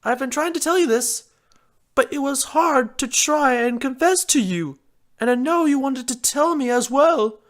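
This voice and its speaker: Narrator putting on a fancy girl pony from a storybook, real high voice, kinda flustered and coy. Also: expressive, unhurried. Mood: earnest confession. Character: elegant adult female pony, flustered and coy.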